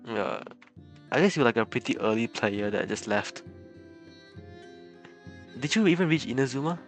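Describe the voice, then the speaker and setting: A man's voice: mid-range voice, lively intonation, normal speed, faint music behind. A man livestreaming a game, chatting casually with viewers, chuckling often and stammering over repeated words. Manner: chuckles often between remarks.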